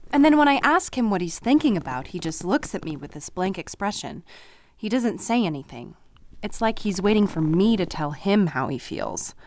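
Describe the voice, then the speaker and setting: A woman's voice: mid-range voice, big pitch swings, normal speed, natural voice. A woman playing an unsure, apologetic married therapy patient in a radio play, speaking hesitantly. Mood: hesitant, troubled.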